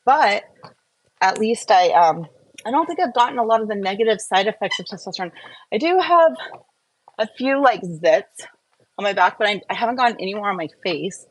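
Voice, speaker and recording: A woman's voice: mid-range voice, lively intonation, fairly quick, a little hiss or hum, poor audio. A woman vlogging in a casual, chatty question-and-answer and review style, rambling and often interrupting herself as she gets distracted. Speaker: skincare and wellness vlogger.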